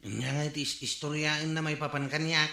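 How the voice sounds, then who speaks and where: Casting: disapproving Ilocano villager, forceful tone, roomy echo, radio drama. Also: male voice, fairly high pitch, little pitch movement, a little fast, creaky. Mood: grumbling.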